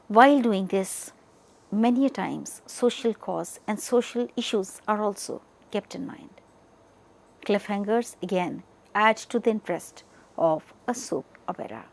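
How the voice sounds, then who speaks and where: A woman giving an educational lecture, partly reading from notes, speaking deliberately in short, emphatic chunks. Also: medium pitch, creaky, a little mellow.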